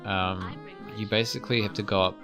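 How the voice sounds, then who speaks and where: A man's voice: on the low side, steady tone, fairly quick, music in the background. A young man streaming a video game and giving casual, rambling commentary as he thinks aloud. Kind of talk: casual let's-play commentary.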